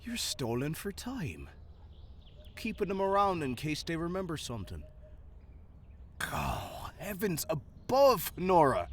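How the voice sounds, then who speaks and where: A man playing a wry, protective fantasy guardsman in an audio roleplay, with a theatrical, mock-pompous manner and a husky voice. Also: a little high, wildly swooping pitch, takes it slowly, a little hiss or hum, exaggerated voice. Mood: skeptical. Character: male fantasy head guard's deputy, teasing, protective, exasperated friend.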